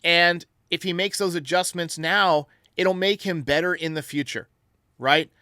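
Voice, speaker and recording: A man with a bright, forceful voice makes his case with emphasis and conviction as he answers fan voicemails. His voice sits a bit higher than most men's. The sound is close and dry, with no room around it. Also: steady tone, measured pace, clear, resonant tone, no echo. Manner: emphatic, argumentative. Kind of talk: casual opinionated sports talk.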